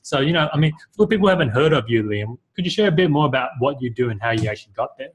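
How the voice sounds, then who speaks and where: A male podcast host talks casually and eagerly, piling on fillers as he builds up his guest. His voice sits at an ordinary pitch. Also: lively intonation, very fast. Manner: enthusiastic, casual, many fillers. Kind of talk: podcast interview.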